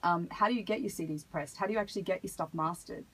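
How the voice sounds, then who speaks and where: A woman answering as a radio interview guest, earnest and measured, picking her phrasing hesitantly as she explains. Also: fairly low pitch, a bit bright, poor audio. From Australia. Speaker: hip hop artist, interview guest. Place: radio studio. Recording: noticeable background noise.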